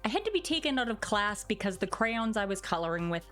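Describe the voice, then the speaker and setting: A woman in her forties reads posts aloud and comments on them with theatrical sarcasm. Her pitch sits in an ordinary range, and faint music plays behind her. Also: big pitch swings, fast talker, fairly bright tone. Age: 40s. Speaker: video host. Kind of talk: dramatic reading of online posts with commentary.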